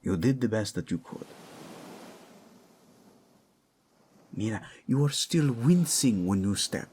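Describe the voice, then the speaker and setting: A man playing a devoted, commanding husband and soldier in an audio drama, speaking gravely with a gravelly voice. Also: fairly low pitch, lively intonation, normal speed, a little mellow, a little hiss or hum, close to an everyday voice. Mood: firm, concerned. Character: devoted, commanding husband leading soldiers to rescue his wife.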